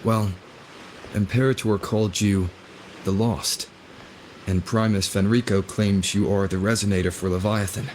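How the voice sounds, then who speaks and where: Guy playing a calm young game hero, lowish voice, serious and measured, faint noise behind. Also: natural voice, rough recording. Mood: earnest, calm. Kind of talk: video game voice acting.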